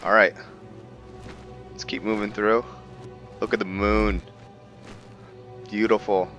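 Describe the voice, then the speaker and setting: A man's voice: lowish voice, little pitch movement, slow pace, fairly bright tone, soft background music. A man giving casual roleplay game commentary, rambling and thinking aloud to viewers as he plays. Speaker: gaming video host.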